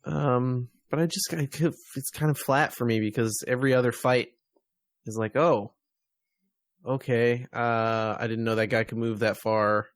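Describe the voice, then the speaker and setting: A man's voice: mid-range voice, fairly even intonation, unhurried, no room sound. An American man hosting a podcast, chatting casually about games and media. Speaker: podcast host.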